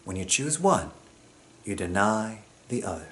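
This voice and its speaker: A man from Jamaica telling a personal story on stage, expressive and acting out what other people say. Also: on the low side, a little slow.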